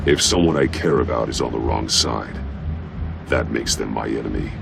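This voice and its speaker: An actor playing a grim, stern masked vigilante in a video game, terse and cold, with a gravelly growl. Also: male voice, rumbling low, moderate pace, full character voice. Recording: loud music under the voice.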